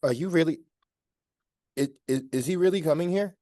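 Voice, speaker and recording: An actor playing an overwhelmed, distressed young man in a dramatic script reading, halting and stammering with agitation as he refuses help. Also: a little high, warm tone, dry recording, studio quality, natural voice. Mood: disbelieving.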